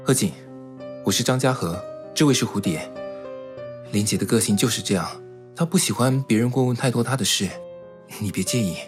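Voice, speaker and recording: A man's voice: mid-range voice, a little fast, long pauses, over music, natural voice. A man playing a courteous, reassuring young man in a suspense radio drama, explaining things politely. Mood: friendly, reassuring.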